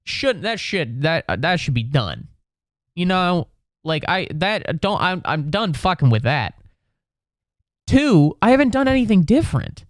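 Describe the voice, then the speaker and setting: A young man in his late twenties rants into a close, dry mic at home, sick with a cold and swearing freely. He talks slowly and drags out his words, then his pitch leaps wildly up and down as he gets worked up. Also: sing-song, no room sound. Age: 20s. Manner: profane, exasperated ranting with sudden high-pitched outbursts. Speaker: comedian podcast host. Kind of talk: ranting comedic monologue. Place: home room.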